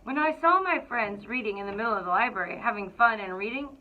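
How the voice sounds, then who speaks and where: A woman reading a children's picture book aloud to school students in an expressive, deliberate storytelling way. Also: a little high. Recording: thin, phone-like narrow-band sound.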